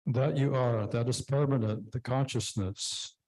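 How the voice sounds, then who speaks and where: Male student, very slow careful repeater, relaxed warm tone. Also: mid-range voice. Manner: slow, careful repetition of phrases.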